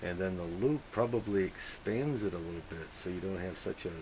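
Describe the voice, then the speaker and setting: Low voiced guy on ham radio, rambly halting tech chat, hissy noisy recording. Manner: halting, rambling, thinking out loud. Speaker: amateur radio operator. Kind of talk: casual technical radio chat.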